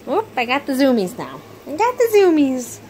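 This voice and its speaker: A woman voicing her playful young male cat in a quick, goofy, pleased-with-himself way, recorded at home with some noise. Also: high-pitched, wildly swooping pitch, darkish tone, voice pushed up. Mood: giddy.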